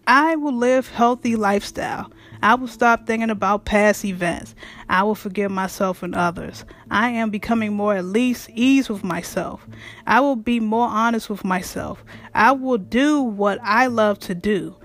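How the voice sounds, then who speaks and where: A woman with a raspy voice and speech, hosting her own show in a blunt, frank monologue that swears freely. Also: mid-range voice, measured pace. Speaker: podcast host. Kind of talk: casual monologue.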